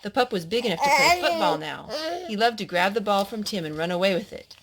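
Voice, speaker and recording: A woman reads a children's story aloud to a young listener. Her delivery is animated, with pitch that moves up and down a lot, and she speaks as a storyteller who checks in with the child. It is a home recording with faint background noise. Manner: animated, child-directed, pausing to explain and ask questions.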